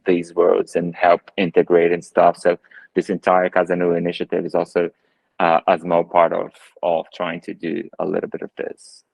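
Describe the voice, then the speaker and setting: A man's voice: low-pitched, no echo, poor audio. A man in his thirties with a Brazilian Portuguese accent, a photographer talking as a guest on a video call from his living room, thoughtful and full of fillers and restarts. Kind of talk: casual video call interview. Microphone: earbuds on a video call.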